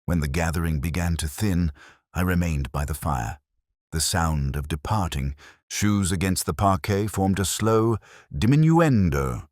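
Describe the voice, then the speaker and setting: Really deep male narrator doing a detective story, measured and literary, pitch moves around a lot, super dry sound. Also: lively intonation, darkish tone, no echo. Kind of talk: scripted narration, first-person detective mystery.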